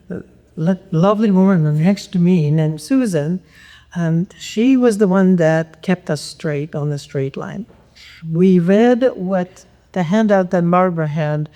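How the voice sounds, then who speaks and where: A woman from Canada chattily reporting on a workshop at a conference, with a loud, enthusiastic voice. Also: on the low side. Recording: long hall reverb.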